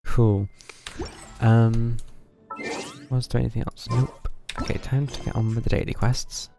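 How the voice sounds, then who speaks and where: A man's voice: medium pitch, fairly even intonation, unhurried, warm tone, faint music behind. A man giving casual live game stream commentary, muttering to himself in a dryly wry way.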